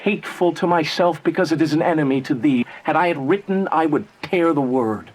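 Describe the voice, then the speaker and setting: An actor playing a lovestruck young man in Shakespearean verse, speaking with tender, rapturous and measured delivery. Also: on the high side, close to an everyday voice, rough recording. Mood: earnest devotion. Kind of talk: slow dramatic verse acting.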